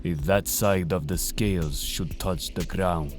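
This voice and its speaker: A man playing a grave, ominous servant of an Egyptian death god in an audio drama, speaking formally, over music. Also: low voice. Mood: cold, judging. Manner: grave, formal, slow pronouncements. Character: ominous ancient Egyptian tomb guardian, servant of Anubis.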